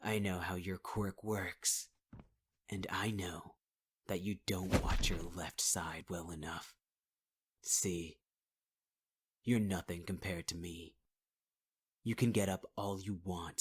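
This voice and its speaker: A man's voice: low-pitched, fairly even intonation, slow pace, pauses often, husky. A man playing a whispering, menacing villain. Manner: taunting, cold, calling the listener sweetheart; half-whispered delivery. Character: menacing male villain, possessive and cruel. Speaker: audio roleplay performer. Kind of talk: ASMR audio roleplay.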